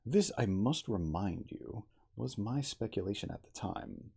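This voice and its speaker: Dignified male starship captain, played by a man, reading literature ASMR-style. Gravelly, very expressive intonation, deliberate theatrical delivery. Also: fairly low pitch, heavily acted. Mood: thoughtful, reflective. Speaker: cosplayer reading aloud as a character. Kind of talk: ASMR audiobook reading of a classic novel.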